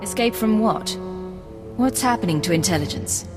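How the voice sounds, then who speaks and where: An actress playing a cool, resolute secret agent in a video game, with a controlled, serious and clipped delivery. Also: female voice, medium pitch, animated, moderate pace, close to an everyday voice. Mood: guarded. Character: cool, resolute female secret agent, self-possessed and guarded. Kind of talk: scripted dramatic game acting. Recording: reverberant hall, music behind.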